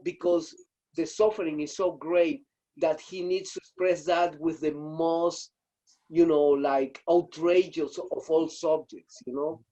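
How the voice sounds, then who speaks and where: A man joining a video call discussion, searching for his words with many halting fillers. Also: on the high side, steady tone, mellow, lo-fi sound. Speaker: audience participant. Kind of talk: spontaneous reflective remarks.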